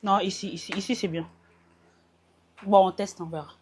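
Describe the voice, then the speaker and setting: A woman's voice: medium pitch, steady tone, moderate pace, a little mellow, slight background noise. A young woman from France vlogging casually into a small mic, chatting about her outfit and slipping English words into her French. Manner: chatty, mixes in English words, laughs at herself. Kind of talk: casual vlog chat. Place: at home getting ready, then out in the street and metro.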